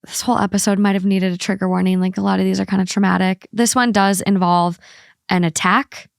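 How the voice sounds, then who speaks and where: A woman hosts a casual podcast, moving between chat and reading stories aloud. Her voice sits at an ordinary pitch for a woman. The recording is close and dry, with no room sound. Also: level tone, brisk, vocal fry, dry recording. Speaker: podcast host.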